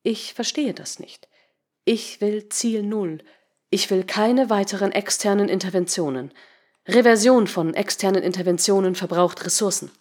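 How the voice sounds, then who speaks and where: A woman narrator voicing a naive, emotionless artificial intelligence in an audiobook, with a measured, clipped, stilted delivery. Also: medium pitch, a little mellow, natural voice. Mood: puzzled, measured.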